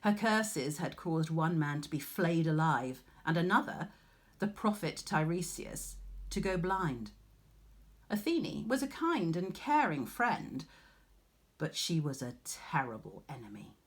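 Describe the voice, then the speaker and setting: Female storyteller, slightly low pitch, highly varied intonation, expressive teacherly read-aloud. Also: a little slow.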